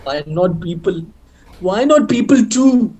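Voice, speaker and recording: A blunt villager played by a man has a high but mellow, husky voice and speaks in clipped phrases, with faint music behind him. It is part of an amateur stage play. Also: expressive, slow pace, dull audio, exaggerated voice.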